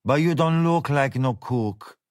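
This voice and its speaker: A man's voice: mid-range voice, unhurried, no echo, clean studio sound, close to an everyday voice. A man voicing a tough, weary middle-aged woman at a bar, talking bluntly in a drawl with slang.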